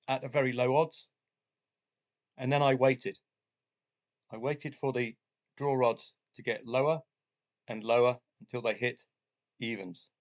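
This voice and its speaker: A middle-aged man with the flu and a deep, husky voice, chatting casually about his betting results as a channel host, with jokey asides and frequent filler sounds. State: has the flu, chesty cough, voice deeper than usual.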